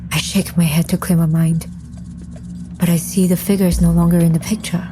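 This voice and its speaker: A woman's voice: lowish voice, little pitch movement, fairly quick, natural voice. A woman playing a composed, serious undead mission leader who narrates her own story in an audio drama. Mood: grave, composed. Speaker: lead character and first-person narrator. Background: music.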